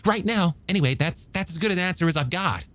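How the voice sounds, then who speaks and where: Man voicing an animated young male shark scientist. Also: high voice, fast talker, voice pushed up. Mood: thoughtful. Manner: stammering, emphatic explaining. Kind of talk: audiobook character dialogue.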